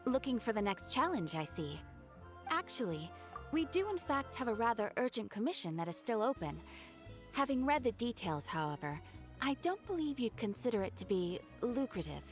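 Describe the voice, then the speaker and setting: A woman's voice: fairly high pitch, very animated, moderate pace. A woman playing a polite, composed young guild receptionist in a video game, with a courteous, articulate, professional delivery. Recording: game music behind the voice.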